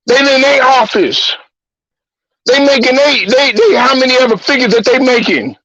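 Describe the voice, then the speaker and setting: A man with a strikingly high voice rants bluntly and heatedly, hammering the same phrases again and again. The sound is dull and lo-fi, close and dry with no room around it. He delivers it as a host riffing off the cuff. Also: expressive, normal speed, fairly bright tone, breathy edge, no room sound. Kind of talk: profane sports-talk rant.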